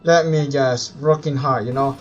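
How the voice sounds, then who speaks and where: Slow, casual male game streamer. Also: medium pitch, fairly even intonation, dull audio. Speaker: live streamer. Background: game music.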